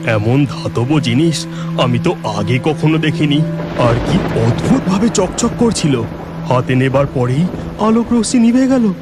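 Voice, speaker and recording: A man's voice: fairly high pitch, lively intonation, unhurried, over loud music. A man playing a pious, plainspoken village farmer in a children's cartoon, with an exclamatory, lamenting manner. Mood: curious.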